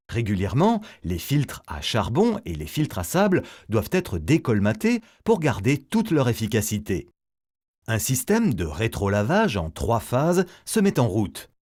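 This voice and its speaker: A man's voice: mid-range voice, a little mellow. A man reading a scripted educational narration as the narrator of an explainer film, setting things out in a didactic, step-by-step way.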